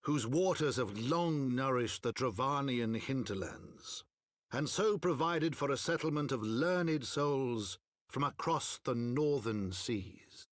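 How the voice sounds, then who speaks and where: An actor playing a solemn storyteller, narrating a fantasy chronicle in a measured, grave, poetic manner. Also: male voice, mid-range voice, animated, no echo, studio quality. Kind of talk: scripted literary narration.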